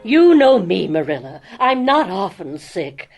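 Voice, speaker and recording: A woman's voice: mid-range voice, big pitch swings, a little slow, gravelly, a little mellow, soft background music. An actress playing a blunt, bossy, gossipy neighbor woman in a period drama, outspoken and opinionated. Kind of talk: audio drama acting.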